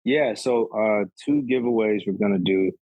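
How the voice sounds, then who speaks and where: A man in his thirties, a podcast guest, chatting in a relaxed, rambling way, often tacking "man" onto his sentences. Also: lowish voice, moderate pace, dry recording, poor audio. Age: 30s. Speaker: podcast guest, golf sneaker reviewer. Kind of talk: casual conversation.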